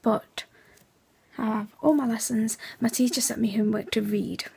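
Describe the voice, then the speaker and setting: Teen girl, hoarse and gravelly, hushed late-night chat, fairly high voice, laptop webcam. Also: fairly even intonation, moderate pace. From England. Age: teens. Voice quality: raspy. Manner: hushed, half-whispered, chatty. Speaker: vlogger. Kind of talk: casual late-night vlog. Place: bedroom at night, indoors.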